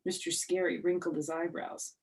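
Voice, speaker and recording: A woman's voice: on the low side, little pitch movement, moderate pace, a little mellow, low-quality recording. A woman storyteller reading a children's book aloud, addressing young listeners directly in simple words.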